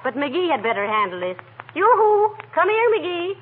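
An actress playing a sensible, teasing, folksy wife in a 1940s radio comedy, wry and gently scolding. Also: female voice, very high voice, very animated, moderate pace, narrow, tinny audio. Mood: coaxing. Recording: noisy old radio recording, loud studio audience.

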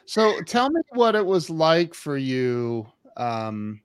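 A man hosts a casual online interview show with informal, chummy phrasing. His voice is clean and smooth at a medium pitch, and he takes his time, speaking slowly. The sound is close and dry. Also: big pitch swings, no room sound. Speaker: talk show host and interviewer.

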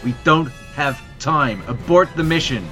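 A man's voice: a little high, unhurried, bright, forceful tone. A man acting a desperate scientist in an audio drama, with music behind him. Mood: urgent pleading. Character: desperate male scientist pleading to stop a bombing.

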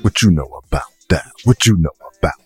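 Male co-host, low raspy register, laid-back slangy delivery, light music underneath. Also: expressive, a little fast, darkish tone. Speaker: podcast co-host. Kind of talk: casual chat.

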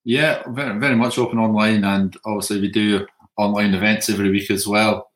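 A man's voice: lowish voice, fairly even intonation, brisk, a bit bright. A man who runs a whiskey shop, speaking in a businesslike way in an interview over a video call. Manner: businesslike, promotional.

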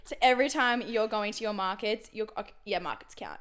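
A young woman co-hosting a podcast, chatting loudly and excitably, quick to laugh, speaking into a handheld mic she keeps away from her mouth. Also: mid-range voice. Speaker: podcast co-host. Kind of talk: casual chat. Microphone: handheld mic held away from mouth.

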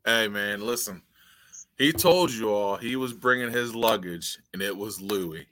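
A man co-hosting a casual sports podcast, laid-back and slangy, quick to agree. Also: on the low side, a bit bright, no echo. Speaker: podcast co-host.

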